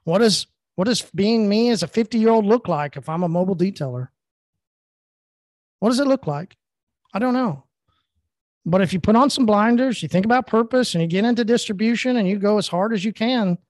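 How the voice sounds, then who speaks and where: A man's voice: sits high, moderate pace, long pauses, no echo. A middle-aged man co-hosting a podcast, talking earnestly in long, rambling, repetitive stretches. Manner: earnest, rambling, repeats himself. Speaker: podcast co-host. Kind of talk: casual motivational talk.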